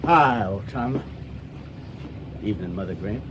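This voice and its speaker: An actor playing a folksy, slippery younger boat navigator in a radio drama, hearty and over-reassuring. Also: male voice, fairly low pitch, animated, measured pace, heavy background noise. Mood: hearty, breezy.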